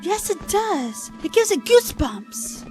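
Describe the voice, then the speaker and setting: A woman acts an earnest, anxious young companion in an audio drama. The voice is extremely high and swoops widely, yet the words are stiffly precise, over clear music. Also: wildly swooping pitch, unhurried, darkish tone, heavily acted. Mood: dry. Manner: avoids contractions, stiffly precise diction.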